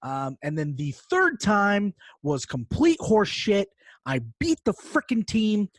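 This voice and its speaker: A man co-hosting a podcast, telling gaming stories in an animated, casual, sweary way. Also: high-pitched, slow pace, dry recording, clean studio sound. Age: adult, parent of a young child. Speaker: podcast co-host. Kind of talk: casual chat, long gaming anecdote.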